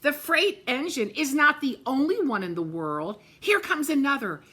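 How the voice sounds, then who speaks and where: A woman's voice: high-pitched, expressive, normal speed, pushed and bright, pitched above the performer's own voice. A woman voicing a hopeful little male toy clown in a picture book, calling out eagerly.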